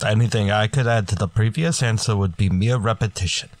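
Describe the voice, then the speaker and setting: A man's voice: lowish voice, a little fast, no room sound, close to an everyday voice. A man playing a dim, good-natured tavern regular with a dopey, hesitant manner in a radio comedy. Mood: dim, puzzled. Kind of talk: old-time radio comedy acting.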